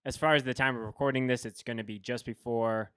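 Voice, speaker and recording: A man's voice: medium pitch, fairly even intonation, quick pace, fairly bright tone, clean tone, no echo, clean studio sound. A man hosting a podcast, chatting casually with a guest and often restarting his sentences. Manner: rambling, many restarts and fillers. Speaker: podcast host. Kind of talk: casual chat.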